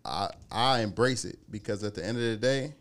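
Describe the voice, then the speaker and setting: A man in his thirties from the Cleveland area telling animated, slangy stories in casual chat, swearing freely. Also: on the low side, fairly quick. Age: 30s.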